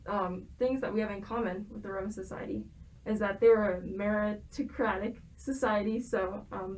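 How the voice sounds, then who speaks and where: A woman's voice: medium pitch, darkish tone, poor audio. Young woman explaining from notes. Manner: explains from notes with frequent ums and restarts. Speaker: student guest expert. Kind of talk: prepared explanatory talk.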